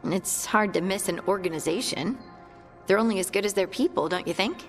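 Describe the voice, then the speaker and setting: A woman playing a warm, earnest young scout in a fantasy video game, chatting in a friendly, conversational way. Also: medium pitch, fairly quick, soft background music, natural voice. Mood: reflective. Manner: chatty, friendly, lightly amused.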